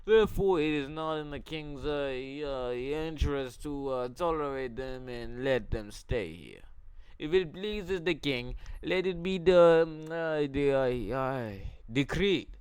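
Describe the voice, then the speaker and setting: A man voicing a scheming, nervous villain, a royal advisor who stammers and hesitates in a loud, strained voice while reading a story aloud. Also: fairly high pitch, expressive, smooth, dry recording, pitched above the performer's own voice. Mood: nervous, pleading. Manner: stammering, halting, full of hesitations.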